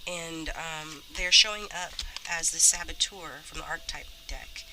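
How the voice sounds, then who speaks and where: A woman with a stuffy, slightly rough voice from a cold, chatting casually as she gives a tarot reading. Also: low-pitched, a little slow, background noise. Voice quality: a little rough. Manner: chatty, many fillers and restarts. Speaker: tarot reader.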